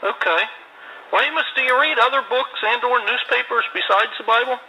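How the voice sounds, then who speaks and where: A male interviewer asks short, direct questions and answers each reply with a brief acknowledgement. His voice is high and strikingly bright, with a gravelly edge. A faint hiss runs underneath. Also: brisk, poor audio.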